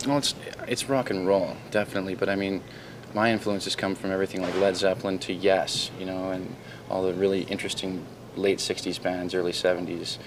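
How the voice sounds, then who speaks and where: Low voiced canadian guitar guy rambling about his band, clears his throat a lot, noisy camcorder sound. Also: level tone, brisk, hardly any pauses. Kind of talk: casual interview answers. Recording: raw camcorder audio with noticeable noise.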